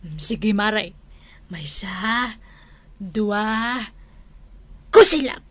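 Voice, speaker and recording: A woman's voice: medium pitch, lively intonation, drawn-out words. An actress with an Ilocano Filipino accent playing an aggrieved, gossipy village woman in a radio comedy, exclamatory and dramatic. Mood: gossipy, needling.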